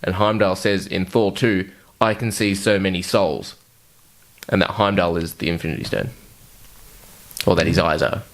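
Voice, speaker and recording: Lowish-voiced man in casual fan chat. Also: normal speed, long pauses. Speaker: podcast co-host.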